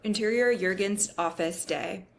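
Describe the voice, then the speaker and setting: A woman's voice: mid-range voice, unhurried. A woman reading scripted stage directions as narrator at a table read.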